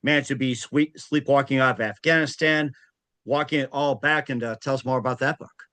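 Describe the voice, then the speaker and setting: Bright, forceful male show host, dry sound. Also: mid-range voice, fairly even intonation, fairly quick, clear, resonant tone, no echo. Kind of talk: casual interview chat.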